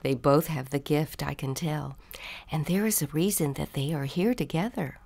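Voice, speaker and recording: Low, gentle Missus Claus, played by a woman. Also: moderate pace, creaky. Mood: reassuring. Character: gentle, reassuring Missus Claus, wife of Santa.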